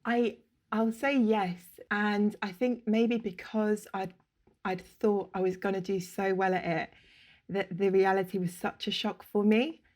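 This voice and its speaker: A woman, telling her story as a podcast guest, open and quick to laugh, speaking over a video call. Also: mid-range voice, fairly even intonation, darkish tone. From England. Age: 30s. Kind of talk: casual interview chat. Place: home room, indoors.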